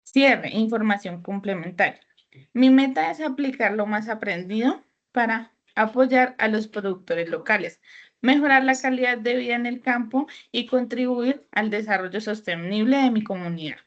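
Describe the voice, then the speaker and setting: A woman student reading her own written text aloud in a slow, careful way during an online class. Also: on the high side. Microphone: video call. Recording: dull lo-fi video call audio.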